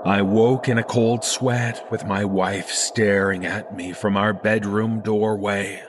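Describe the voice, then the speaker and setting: A man's voice: lowish voice, flat delivery, takes it slowly, noisy background. A man reading a scripted first-person horror story in a dramatic, suspenseful manner.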